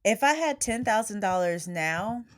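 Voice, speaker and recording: A woman's voice: mid-range voice, lively intonation, measured pace, no echo, clean studio sound. A woman hosting a podcast interview, upbeat and chatty, laughing often. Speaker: podcast host. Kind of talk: casual interview chat.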